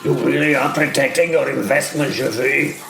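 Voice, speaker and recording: A man's voice: mid-range voice, steady tone, moderate pace, gravelly, bright, forceful tone, pitched above the performer's own voice. A man voicing a wry, brave young medieval knight in an audio drama, over faint background noise. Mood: wry, teasing. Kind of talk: audio drama character acting.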